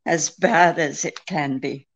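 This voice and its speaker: A woman playing a frightened, evasive femme fatale in a 1940s-style radio detective drama, speaking haltingly. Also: deep voice, creaky, husky, heavily acted. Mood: grave, resigned. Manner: halting, hesitant.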